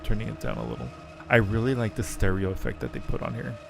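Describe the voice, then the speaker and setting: A man's voice: lowish voice, very animated, fast, creaky. Casual male streamer, music behind him. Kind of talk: casual live game commentary.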